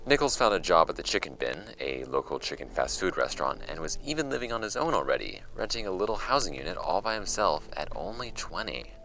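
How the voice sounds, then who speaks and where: An actor playing a wry archive host, reading old case files aloud in a measured, conversational way for a scripted audio drama. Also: male voice, low voice, big pitch swings, gravelly, bright, forceful tone, exaggerated voice, poor audio. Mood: wry, matter-of-fact. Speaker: host of a fictional case-file podcast. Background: music under the voice.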